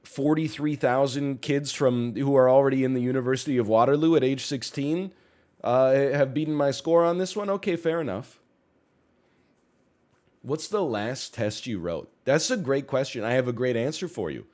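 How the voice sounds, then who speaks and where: A man's voice: medium pitch, moderate pace, darkish tone. A young man from Canada chatting casually on a livestream, slightly congested, rambling and correcting himself with jokey asides. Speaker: streamer. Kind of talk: casual chat.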